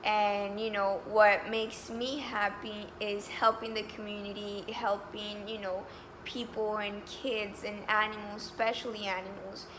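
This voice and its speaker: A woman's voice: medium pitch, flat delivery, unhurried, background noise. A woman in her twenties from Colombia, answering interview questions in an eager, talkative way full of fillers. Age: 20s. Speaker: job candidate. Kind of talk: mock job interview.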